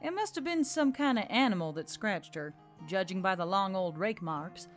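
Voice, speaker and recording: A woman's voice: medium pitch, lively intonation, normal speed. Woman narrating fantasy over music. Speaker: audiobook narrator. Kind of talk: scripted fantasy story narration. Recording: music bed under the voice.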